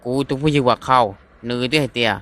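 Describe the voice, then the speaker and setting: A man's voice: medium pitch, fairly even intonation, racing, fairly bright tone, natural voice. A man playing a young man in love in a cartoon romance, speaking in a conversational way. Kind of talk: amateur animated cartoon dialogue.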